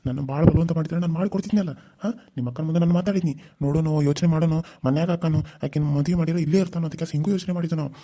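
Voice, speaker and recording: Guy doing a gruff uncle, north karnataka accent, very fast, dark and husky. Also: on the high side, fairly even intonation. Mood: furious. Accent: North Karnataka Kannada. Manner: scolding, threatening. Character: stern protective older uncle, head of house.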